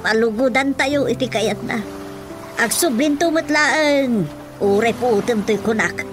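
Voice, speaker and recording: A woman's voice: a little high, unhurried, lightly acted. A woman acting a patient, resigned older mother who placates her family in an Ilocano radio drama, over music.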